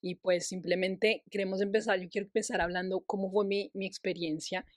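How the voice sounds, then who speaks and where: A woman's voice: mid-range voice, steady tone, a little fast, no echo, studio quality. A young adult Colombian woman hosting a casual podcast interview, encouraging her guest and often restarting her phrases. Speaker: podcast host.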